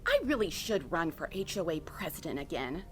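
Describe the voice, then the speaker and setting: A woman's voice: sits low, very animated, normal speed, a bit bright, breathy edge, heavily acted. A woman playing a gushing, petty suburban neighbor in a comedic audio drama, melodramatic and theatrical in manner. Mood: bitter, wistful.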